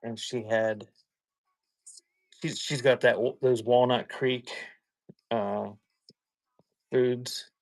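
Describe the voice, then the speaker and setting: A man with an average-pitched voice hosts a live sale in a dry, echo-free recording. He rambles casually in a folksy way, often halting as he goes, like unhurried small talk with regulars. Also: fairly even intonation. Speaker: live auction seller hosting a stream. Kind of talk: casual rambling chat while showing items for sale.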